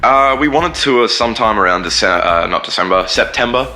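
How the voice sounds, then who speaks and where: A man's voice: medium pitch, lively intonation, brisk, sharp tone, faint music behind. A man, a band singer and guitarist, rambling casually in an interview. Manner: rambling, many fillers and restarts.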